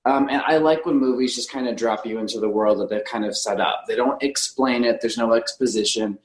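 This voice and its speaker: A man's voice: mid-range voice, fairly quick. Man in an echoey room, chatting reflectively. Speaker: podcast panelist reviewing films. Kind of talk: casual film discussion. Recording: roomy, echoey sound.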